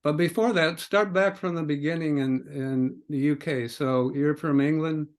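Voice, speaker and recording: A man with an American accent hosting an interview podcast in a halting, informal, conversational way. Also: on the high side. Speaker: podcast host.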